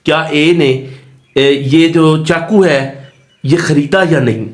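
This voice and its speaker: Higher-voiced man lecturing. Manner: didactic, repeats and rephrases key points. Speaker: law lecturer. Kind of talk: exam-focused lecture mixing Urdu-Hindi and English terms.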